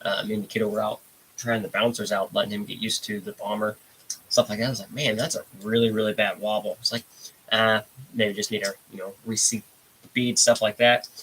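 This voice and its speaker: A man with a blocked, stuffy nose hosts a casual live stream, chatting in a rambling, unhurried way. His voice sits a bit low. A faint hiss runs under the recording. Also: little pitch movement, a bit bright, poor audio. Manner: laid-back, rambling, reads out chat comments. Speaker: livestream host.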